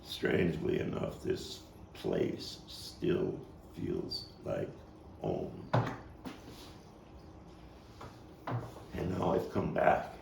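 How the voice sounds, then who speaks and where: Man acting deep, soul-searching nostalgic Brooklyn man. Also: very animated, gravelly, exaggerated voice. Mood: brooding, anguished. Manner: halting, repeating words, swinging from hushed to emphatic. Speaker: playwright and actor. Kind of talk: dramatic stage monologue at a table read. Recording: distant mic, roomy echo, faint noise.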